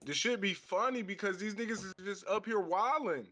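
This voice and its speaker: A man's voice: sits high, moderate pace, bright, forceful tone. A man co-hosting a casual podcast, easygoing and slangy. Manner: easygoing, slangy, short interjections. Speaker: podcast co-host.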